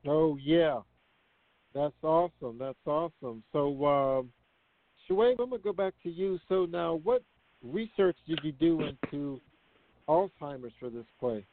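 A man's voice: fairly high pitch, lively intonation, measured pace, no room sound. A man hosting a radio show, interviewing guests in a casual conversational style. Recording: tinny phone-line sound.

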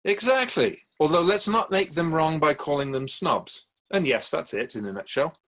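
An actor playing a calm, wise, otherworldly keeper of souls who teaches in a measured, explanatory way as a guest in a radio drama. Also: male voice, a little high, narrow, tinny audio. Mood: firm, explaining.

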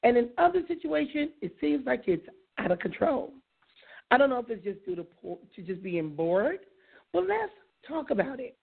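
A woman with a hoarse voice hosting a call-in radio talk show, musing aloud about patience and inviting callers to phone in. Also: a little high, sing-song, normal speed. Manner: reflective, conversational, invites callers. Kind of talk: solo talk radio monologue. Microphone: iPad. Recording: phone-like lo-fi line.

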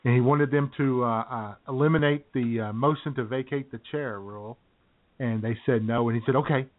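Heard through narrow, phone-like audio, a male co-host with a mid-pitched voice chats casually. He is wry and tends to repeat himself as he riffs. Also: steady tone, fairly quick. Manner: wry, chuckling, repeats phrases. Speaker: comedy radio co-host. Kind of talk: casual political banter. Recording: tinny, narrow phone-like sound.

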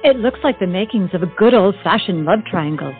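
An actress playing a playful, theatrical announcer giving scripted narration for a stage play. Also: female voice, mid-range voice, animated, brisk, narrow, tinny audio, faint music behind, natural voice. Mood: playful teasing.

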